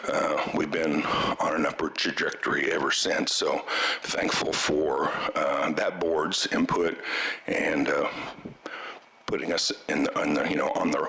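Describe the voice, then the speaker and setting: Middle-aged man with a cold chairing a board meeting, very low but bright, forceful voice, halting thanks with lots of ums. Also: vocal fry, poor audio. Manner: halting, many fillers and restarts, with coughs. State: has a cold, voice strained. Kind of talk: farewell remarks at a public board meeting. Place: meeting room.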